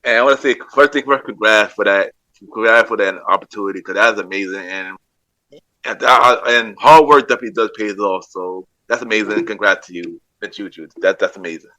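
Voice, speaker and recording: A man's voice: on the low side, a little fast, sharp tone, no echo, poor audio. A man hosting a casual podcast interview, responding supportively to his guest.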